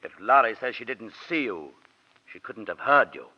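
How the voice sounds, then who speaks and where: An actor playing a stern, calm village constable in 1940s radio style on an old broadcast. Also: male voice, medium pitch, moderate pace, dull audio. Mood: grave, explaining.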